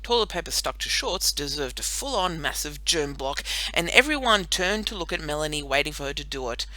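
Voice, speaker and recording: Female audiobook narrator, very bright forceful voice, lively swooping pitch, dry close sound, children's storytelling. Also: brisk, no room sound, poor audio. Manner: expressive, animated storytelling.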